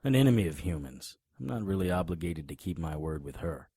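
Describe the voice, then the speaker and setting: An actor playing a tough, wisecracking monster hunter in an audio drama, grim and cocky, with a husky voice. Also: male voice, low voice, big pitch swings, brisk, warm tone, dry recording, heavily acted. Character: hard-boiled male monster hunter, grim, cocky, threatening. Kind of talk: audio drama acting with noir first-person narration.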